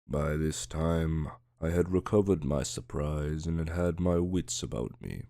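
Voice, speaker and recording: A man's voice: extremely low pitch, unhurried, creaky, dark tone, no room sound, studio quality. A man reading scripted fiction as an audiobook narrator, in a dramatic storytelling style.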